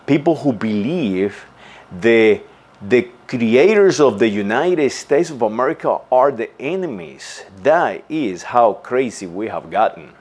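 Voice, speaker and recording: A man's voice: medium pitch, lively intonation, a little mellow. A man delivering an earnest, insistent monologue as a channel host, often stumbling and repeating words before going on. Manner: halting, with frequent restarts and repeated words. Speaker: channel host giving a commentary. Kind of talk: unscripted spoken monologue.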